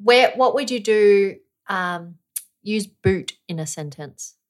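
A woman co-hosts a relaxed podcast chat. Her voice sits at an average female pitch, and she speaks in an everyday conversational style. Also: slow. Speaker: podcast co-host. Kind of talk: casual chat.

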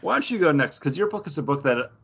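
Lively husky man hosting, lo-fi sound. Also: fairly high pitch, fast. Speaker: podcast host moderating the talk. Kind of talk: casual, teasing banter. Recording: dull, lo-fi audio.

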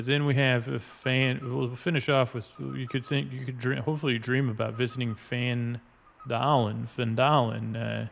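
A man hosting a podcast, talking in a halting, meandering, unscripted way as he describes things in front of him. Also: medium pitch, vocal fry, a bit bright, a little hiss or hum. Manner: halting, meandering, spells out odd words. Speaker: podcast host. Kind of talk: rambling, unscripted narration describing game maps and artwork. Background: music bed and sound effects.

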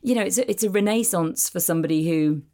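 A woman's voice: lowish voice, fast, dark tone. A woman, co-hosting a podcast in casual, self-deprecating chat with many restarts. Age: 40s. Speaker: podcast co-host. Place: a home, indoors.

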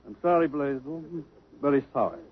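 An actor playing a smooth, condescending businessman in a 1950s radio drama. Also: mid-range voice, animated, moderate pace. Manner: glib and condescending. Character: smooth, mercenary middle-aged businessman. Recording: tinny old radio broadcast with music.

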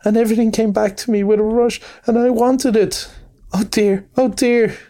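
A man's voice: sits high, fairly even intonation, moderate pace, dark tone, voice pushed up. A man voicing a timid, tearful young male mole who stammers and sobs. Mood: sobbing. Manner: stammering, sobbing delivery.

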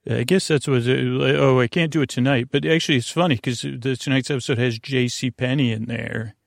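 A gravelly man with a mid-pitched voice hosts a bedtime podcast, recorded close and dry. He meanders in a halting, long-winded way, starting thoughts and wandering away from them. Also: fairly even intonation, dry recording. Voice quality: creaky, gravelly. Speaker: podcast host. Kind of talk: rambling bedtime sleep podcast monologue.

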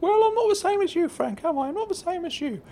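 High-pitched, fretful old worrier. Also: male voice, lively intonation, fast. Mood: indignant. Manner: fussy, anxious, hushing and protesting. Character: fretful, indignant older companion Claudie, timid and grumbling. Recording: faint rumbling background noise.

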